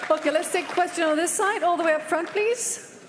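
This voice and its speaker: A woman moderating a press conference, briskly pointing out who asks next. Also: very high voice. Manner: brisk, directing the floor. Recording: echoey room with crowd noise.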